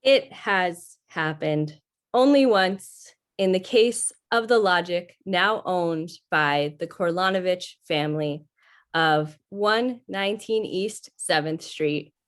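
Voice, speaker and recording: A talking computer voiced by a woman in an old-time sci-fi radio play. It speaks very slowly in a lowish voice, giving formal, impassive, methodical recitations. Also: clean tone. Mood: impassive, informative. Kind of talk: 1950s radio play acting.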